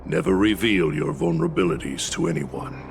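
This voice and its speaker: A proud old warrior retainer, low and gravelly, scornful, formal game dialogue over loud music. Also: male voice. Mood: grave counsel. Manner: grave, formal, deliberate oratory. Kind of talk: dramatic video game acting.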